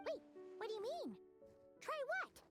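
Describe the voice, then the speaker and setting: Tiny boy dragon, extremely high, swooping, innocent and eager, loud music behind. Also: wildly swooping pitch, measured pace, heavily acted. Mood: puzzled. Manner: bright, childlike, wide-eyed.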